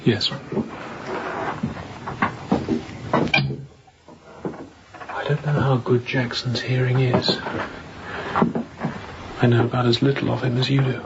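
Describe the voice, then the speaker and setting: An actor playing a young, newly appointed sea captain, guarded and uneasy, speaking in clipped, cautious radio drama style. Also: male voice, little pitch movement, normal speed, vocal fry, highs cut off, very noisy, lightly acted. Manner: low-voiced, clipped, cautious. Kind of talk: restrained radio drama acting.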